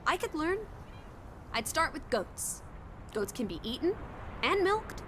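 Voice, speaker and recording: A woman's voice: high-pitched, sing-song, measured pace, noisy background. A woman voicing a sociable, playful young travel companion in a video game, with a breezy, wry, mock-dramatic way of talking. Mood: excited, carefree.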